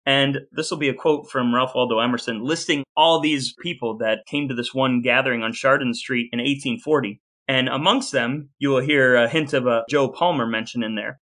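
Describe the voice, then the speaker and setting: A man with a bright, forward voice speaks as a podcast co-host. He tells history in an informal storytelling way, dropping in quotations from written accounts. His pitch sits in the middle range for a man. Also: moderate pace, hardly any pauses.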